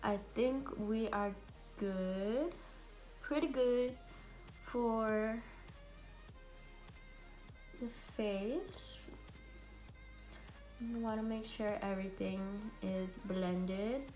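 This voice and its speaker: A young woman with a raspy, congested voice from a cold, chatting bubbly through a makeup tutorial at home. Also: mid-range voice, fairly even intonation, drawn-out words, long pauses, darkish tone, soft background music, low-quality recording. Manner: chatty and bubbly, many fillers. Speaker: beauty vlogger. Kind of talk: casual makeup tutorial chat.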